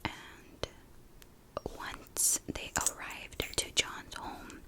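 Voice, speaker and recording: Girl whispering true crime asmr, super slow and breathy, chatty informal storytelling. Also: low-quality recording. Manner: informal, conversational narration. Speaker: ASMR video creator and host.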